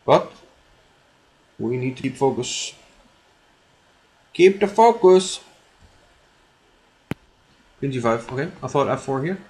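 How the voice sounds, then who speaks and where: A man's voice: medium pitch, animated, takes it slowly, pauses often, darkish tone. A man with an Icelandic accent giving casual live commentary as he streams a game, muttering and repeating his own phrases, thinking aloud. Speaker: chess streamer.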